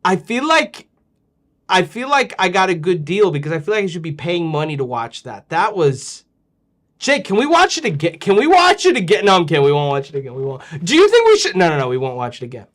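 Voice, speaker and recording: A man hosting a children's show, joking and reacting with exaggerated excitement. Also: sits high, brisk. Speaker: host of a kids' show. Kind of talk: playful, animated hosting.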